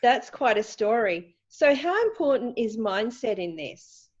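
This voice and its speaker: A woman's voice: a little high, sing-song, a little slow, breathy edge, dull audio. A woman interviewer, eager and enthusiastic, doing her first interview. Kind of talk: casual interview.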